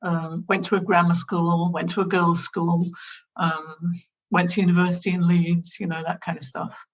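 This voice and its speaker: A woman with a Northern English accent and a fairly low voice answers interview questions. She speaks thoughtfully, pausing on fillers and restarting her sentences. The sound is dull and lo-fi, like a remote call. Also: level tone. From England, near Manchester. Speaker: guest. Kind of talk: conversational interview.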